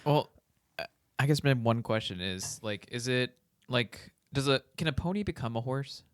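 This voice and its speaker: A slightly hoarse man co-hosting a casual podcast, throwing in deadpan jokes. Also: mid-range voice, normal speed. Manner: joking, deadpan one-liners. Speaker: podcast co-host. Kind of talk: casual chat.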